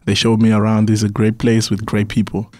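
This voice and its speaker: A young man from Zimbabwe speaks in a fairly low voice as a radio studio guest. He answers earnestly, repeating and restarting his words. The sound is dry and close. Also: completely flat delivery, a little fast, darkish tone, dry recording. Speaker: guest musician.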